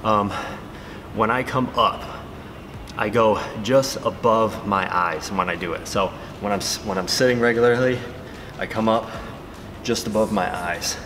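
A man's voice: on the low side, a little slow, background noise. A man in his thirties giving casual workout tips to his camera in a large gym, with filler words. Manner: relaxed, talking between sets with fillers. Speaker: fitness vlogger and trainer. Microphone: camera-mounted mic, camera on a stand. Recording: reverberant gym hall.

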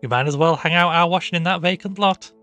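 A man voicing a peremptory, indignant middle-aged housewife in a storybook reading, sharp, commanding and scolding. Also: a little high, fast, soft background music, voice pushed up. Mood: matter-of-fact.

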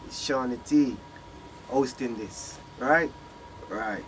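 A man hosting a casual quick-fire interview in a small studio, prompting his guest with short replies. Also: medium pitch, slight background noise, poor audio. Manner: brief encouraging backchannels. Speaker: interview host. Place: small studio room with screens.

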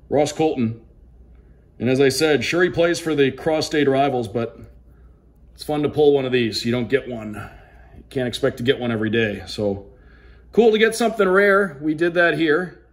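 A man doing casual unboxing commentary with an upbeat, announcer-like delivery, calling out names like a sports broadcaster. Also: on the high side. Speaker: hockey play-by-play broadcaster hosting a card unboxing.

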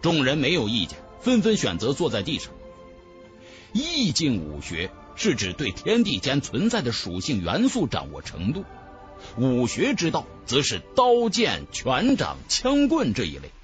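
A man's voice: mid-range voice, huge pitch swings, a little slow. A man reading a fantasy novel aloud as dramatic scripted narration. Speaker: audiobook narrator. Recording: music under the voice.

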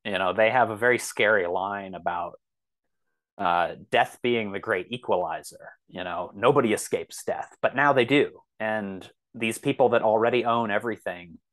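A man speaking as a podcast guest in a reflective, conversational way, often halting and circling back with asides. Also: fairly low pitch, fairly bright tone. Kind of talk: thoughtful conversational interview.

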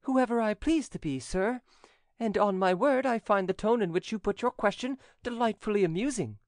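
A man's voice: very high voice, sing-song, normal speed, a little mellow, no room sound, clean studio sound, voice pushed up. A man voicing a swaggering, insolent young chevalier who talks in a teasing, bantering way in an audiobook. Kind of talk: audiobook reading.

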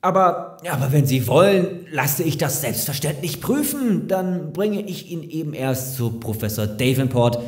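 A man playing a slick, overeager lawyer in an audio drama, flattering and evasive, with hesitant persuasion. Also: on the high side, measured pace, hardly any pauses. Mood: nervous, insistent. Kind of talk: German audio drama acting. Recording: roomy, echoing sound.

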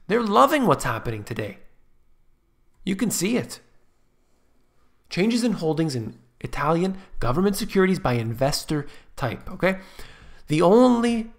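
A man giving emphatic, scornful commentary on finance charts in a screen-share voice-over. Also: a little high. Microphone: voice-over on screen recording.

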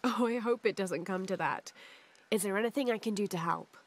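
An actress with a British accent playing a calm, determined young adventurer in a video game. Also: female voice, mid-range voice, brisk, natural voice. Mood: kind, coaxing. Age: young adult. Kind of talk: video game cutscene acting.

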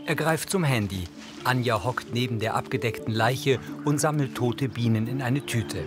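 A man's voice: mid-range voice, moderate pace. Neutral male narrator with music behind. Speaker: audio-description narrator. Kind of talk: scripted narration of a TV crime series.

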